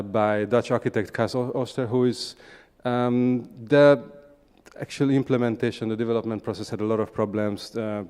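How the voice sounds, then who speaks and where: A man with a Hungarian accent presents at a conference in a large, reverberant hall. His voice is clear and smooth, sitting at an average male pitch. He talks in measured, slightly halting phrases, pausing as he builds his points. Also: darkish tone. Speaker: conference speaker, urban regeneration practitioner. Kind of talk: conference presentation, semi-spontaneous.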